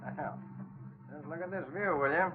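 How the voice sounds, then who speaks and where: An actor playing a chatty, oblivious passing motorist in a 1940s radio drama. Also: male voice, fairly high pitch, moderate pace, natural voice. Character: nosy, chatty male passing motorist admiring the view. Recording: noisy, tinny old radio recording.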